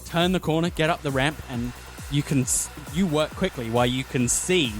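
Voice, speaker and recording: A man's voice: a little high, normal speed, soft background music. A man narrating a tabletop roleplaying game as game master, with descriptive, dramatic storytelling.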